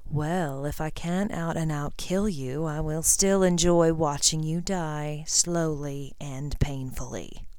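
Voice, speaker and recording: Cruel older male wizard played by a woman, low-pitched, slow, mocking storybook menace. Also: a little mellow, no room sound. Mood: sneering menace. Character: cold, cruel, sneering older male villain wizard, a father.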